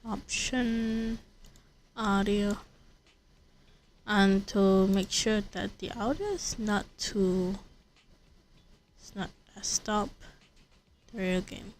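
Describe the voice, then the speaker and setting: A woman's voice: medium pitch, drawn-out words, long pauses, a little hiss or hum. A woman livestreaming a video game, chatting casually and thinking aloud in rambling, repeated phrases. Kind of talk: casual livestream chat while gaming.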